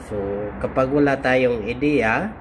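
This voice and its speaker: A Filipino man giving an informal instructional walkthrough, speaking haltingly in short phrases with pauses. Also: medium pitch, fairly even intonation. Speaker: host of an electronics how-to video. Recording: clear background noise, vehicle-like hum.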